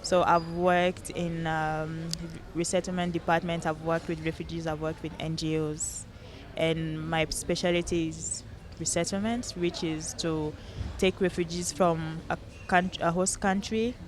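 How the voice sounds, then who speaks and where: A young woman from Cameroon, a podcast guest in casual chat, bubbly and quick to laugh. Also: low voice, flat delivery, a little hiss or hum.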